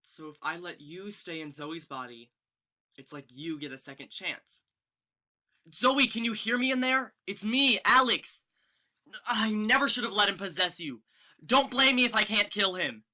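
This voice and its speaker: A woman's voice: medium pitch, unhurried, bright, forceful tone. A young woman playing an anxious, earnest teenage best friend in a student stage play, flustered and stammering. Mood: distressed. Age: young adult. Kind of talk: student one-act stage acting.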